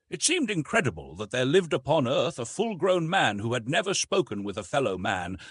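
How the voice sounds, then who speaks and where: A man's voice: medium pitch, a bit bright, slightly rough, no echo. A man reading an adventure novel aloud as a scripted audiobook narration.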